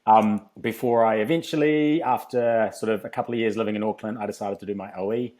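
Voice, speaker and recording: Low-voiced New Zealand man. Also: steady tone, fairly quick, darkish tone. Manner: chatty, frequent ums, laughs easily. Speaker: podcast guest, fitness company founder. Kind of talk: relaxed podcast interview.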